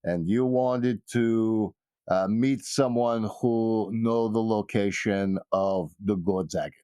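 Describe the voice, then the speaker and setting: A man voicing a polite fixer in a tabletop game, speaking formally and hesitantly in measured phrases. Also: fairly low pitch, dark tone, smooth, no echo. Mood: measured, courteous. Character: polite, thick-accented male fixer who spells out names.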